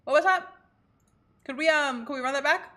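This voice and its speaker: A woman streamer reacting casually to a game with slangy, expressive remarks. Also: sits high, normal speed. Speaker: gaming streamer.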